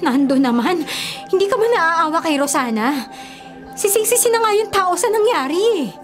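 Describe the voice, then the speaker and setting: A woman's voice: extremely high pitch, lively intonation, normal speed. A woman acting a concerned neighbour in a Filipino radio drama, speaking urgently and emphatically. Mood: concerned appeal. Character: concerned female neighbour, reproachful yet sympathetic. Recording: dramatic music under the voice. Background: music.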